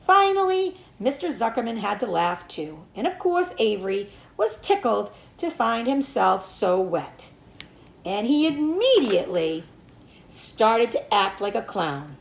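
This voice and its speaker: Female read-aloud teacher for children's fiction, expressive and swooping, slightly high voice, roomy recording. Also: measured pace, creaky. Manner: animated storytelling with acted dialogue. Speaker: teacher reading aloud to pupils.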